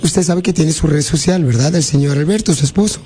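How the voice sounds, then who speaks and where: High-voiced Mexican radio prankster. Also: male voice, fairly quick, slight background noise. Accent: Mexican Spanish. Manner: deadpan, feigning formal officialese. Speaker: radio prank-call host. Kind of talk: prank call and studio banter.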